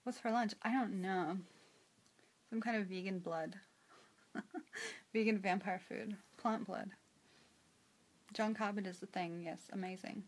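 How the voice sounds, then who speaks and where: A woman's voice: mid-range voice, a little slow, pauses often. A young woman, chatting casually on a phone livestream from a small room and reading viewer comments aloud with frequent giggles. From New Zealand. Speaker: livestream host. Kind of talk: casual live-stream chat answering viewers. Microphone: phone at arm's length.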